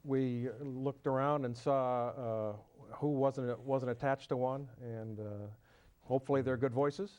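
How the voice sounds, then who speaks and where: A man's voice: medium pitch, fairly even intonation, unhurried, clear, resonant tone. Hesitant man full of ums. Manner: hesitant, many ums and uhs, warm-hearted. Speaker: barbershop quartet singer. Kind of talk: casual interview answers.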